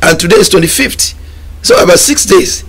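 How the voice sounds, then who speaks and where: A man's voice: high-pitched, expressive, normal speed, a bit bright, breathy edge. A middle-aged man with a Nigerian accent, a guest on a studio talk show, talking emphatically and repeating words as he gathers his thoughts. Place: TV studio lounge set.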